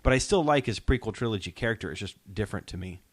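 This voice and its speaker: A middle-aged man hosts a casual podcast chat. His voice sits on the low side, and the sound is very dry, with no room to it. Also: lively intonation, rapid-fire, dry recording. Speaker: podcast host.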